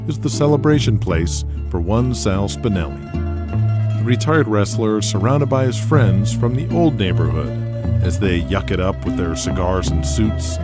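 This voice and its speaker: An American man narrates an audio drama in a fairly low voice. His delivery is scripted and dramatic, with very prominent music underneath.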